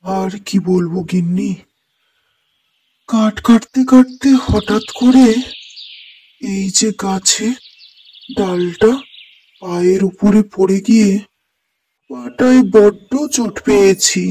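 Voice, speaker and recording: Woman acting a slow, fretful old woodcutter man. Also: mid-range voice, warm tone. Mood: pained. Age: older. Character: poor, worried old male woodcutter. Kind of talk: cartoon moral-story dialogue. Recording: noisy track with birdsong.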